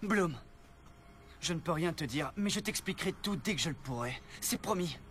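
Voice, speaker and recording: High-voiced earnest young prince. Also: male voice, lively intonation, moderate pace, fairly bright tone, a little hiss or hum. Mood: tender, urgent. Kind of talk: French cartoon film dub.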